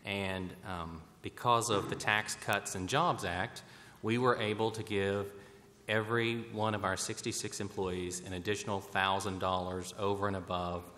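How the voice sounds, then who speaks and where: An American man giving formal, somewhat halting remarks at a public roundtable as a bank president. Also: on the low side, fairly even intonation, pushed and bright. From West Virginia, United States. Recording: echoey hall, noticeable background noise.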